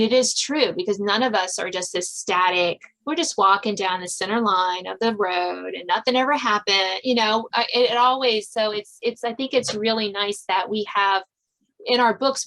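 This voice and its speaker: A woman's voice: medium pitch, fairly bright tone, rough recording. A woman hosting a podcast, talking with guests in a conversational interview style. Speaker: podcast host.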